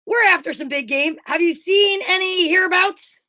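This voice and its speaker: A woman plays the straight man of an old radio comedy duo. The voice is extremely high, with a brisk, bossy and scolding manner. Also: bright, forceful tone. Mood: brisk, curious.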